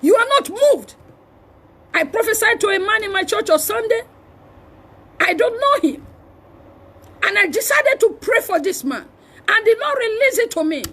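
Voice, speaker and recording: A Nigerian woman preaches in a very high-pitched voice with a gravelly creak. She delivers a fiery charismatic sermon, exhorting forcefully and repeating phrases for emphasis, often at a shout. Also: expressive, moderate pace, pauses often. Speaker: preacher and prophet.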